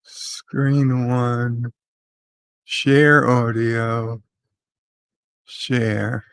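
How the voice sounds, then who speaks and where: A man hosting a live stream, chatting casually and thinking aloud in halting phrases. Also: medium pitch, flat delivery, smooth, no room sound.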